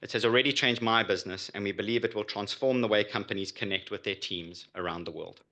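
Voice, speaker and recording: A man delivering a prepared pitch as a startup founder. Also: quick pace, pushed and bright. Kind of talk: prepared business pitch presentation.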